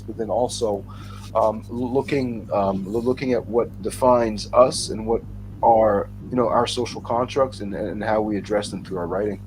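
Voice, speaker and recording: A man speaking as a writer on a casual panel over a video call, haltingly, repeating words as he thinks aloud. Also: lowish voice. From United States (born in New York). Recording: clearly noisy video-call audio.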